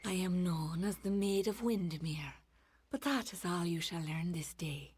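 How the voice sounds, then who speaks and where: Low-voiced haughty fae temptress played by a woman. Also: moderate pace, darkish tone, slight background noise, lightly acted. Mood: haughty menace. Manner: haughty, silky, taunting. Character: seductive, scheming fae enchantress villain, haughty and possessive. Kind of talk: video game villain acting.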